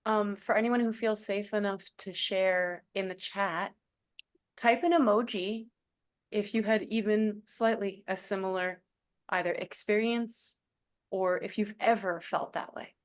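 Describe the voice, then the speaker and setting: A woman leading an online group session over a video call, gentle and encouraging, calling on participants by name. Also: mid-range voice, steady tone, a little slow. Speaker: guest speaker leading a session.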